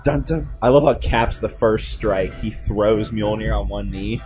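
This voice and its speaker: A man giving excited, casual film commentary in slangy, exclamatory bursts as a host. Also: on the low side, unhurried. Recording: music playing behind the voice.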